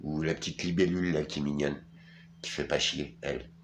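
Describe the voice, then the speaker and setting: A man's voice: a bass voice, level tone, brisk, a little hiss or hum. A tired middle-aged man from France, ranting casually to camera, crude and rambling with many fillers and restarts. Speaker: vlogger.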